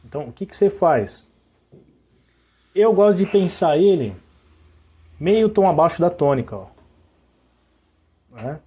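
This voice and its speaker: A hoarse man teaching musical intervals, singing note names to demonstrate them and checking in often with short questions. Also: on the high side, very animated, a little slow, pauses often, mellow. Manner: sings interval notes to show them. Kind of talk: sung and spoken music lesson.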